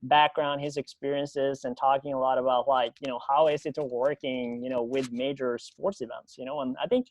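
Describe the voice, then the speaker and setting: A man's voice: medium pitch, little pitch movement, moderate pace, hardly any pauses, no echo, rough recording. A man hosting a podcast, interviewing in a casual, chatty and slightly rambling way. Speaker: podcast host.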